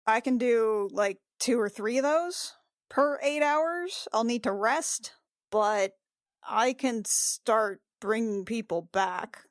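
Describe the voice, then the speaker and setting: A woman playing a tabletop game, chatting casually in and out of character in a halting, informal way that circles back on itself. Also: on the high side, dry recording, studio quality. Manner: halting, informal, repeats herself.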